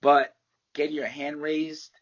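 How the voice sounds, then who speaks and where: A man talks through a candid, loose interview, rambling a little and swearing without a second thought. His voice has a husky edge to it, and the call audio is muffled and lo-fi. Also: on the high side, little pitch movement, measured pace. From United States. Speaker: MMA fighter and martial arts teacher. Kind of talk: casual interview answers.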